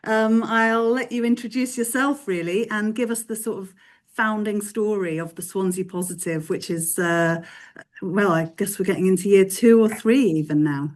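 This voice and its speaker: A woman's voice: mid-range voice, moderate pace. Woman hosting a podcast, friendly. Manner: friendly, slightly hesitant with fillers. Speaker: podcast host. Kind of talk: casual interview hosting.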